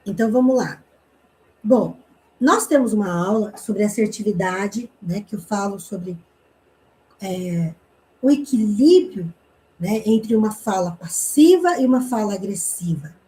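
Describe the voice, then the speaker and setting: A woman's voice: medium pitch, animated, unhurried, mellow, rough recording. A woman teaching a communication class, explaining points with rhetorical questions and frequent tag questions. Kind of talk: online class lecture.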